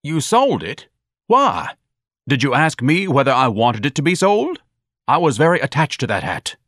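A man's voice: medium pitch, sing-song, moderate pace, fairly bright tone, no room sound, clean studio sound. A man voicing a pompous, overeducated grown son with a grandiloquent, theatrical manner and formal diction. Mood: aggrieved, lofty.

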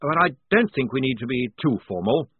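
A man's voice: medium pitch, sing-song, a little fast, slightly rough, dry recording, voice pushed up. A man reading an audiobook, voicing a nervous, fussy young officer who speaks politely and hesitantly. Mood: flustered. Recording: dry, tinny phone-like sound.

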